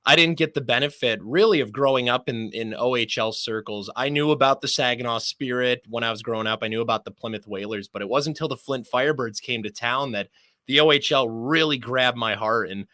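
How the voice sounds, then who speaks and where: A young man from Michigan speaking as a sports announcer being interviewed, articulate and measured, with humble, elaborate phrasing in a conversational style. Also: medium pitch, pushed and bright, no echo.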